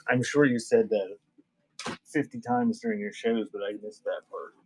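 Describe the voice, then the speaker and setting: A man's voice: lowish voice, normal speed, a little hiss or hum, low-quality recording. A man hosting a casual live sale, rambling conversationally between calling out items and chatting with viewers. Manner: conversational, rambling between item call-outs and chat. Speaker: live auction seller and host. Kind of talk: casual livestream selling chat.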